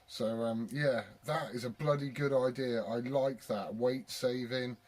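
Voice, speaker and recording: A man's voice: mid-range voice, steady tone, measured pace, a little mellow. A British man chatting casually as a CB radio operator, blokey and sweary in his talk. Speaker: CB radio operator and video maker.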